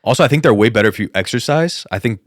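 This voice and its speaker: A man's voice: mid-range voice, expressive, rapid-fire, no room sound, studio quality. A young man co-hosting a podcast, arguing casually and bluntly with plenty of swearing. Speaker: podcast co-host. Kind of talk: casual bantering debate.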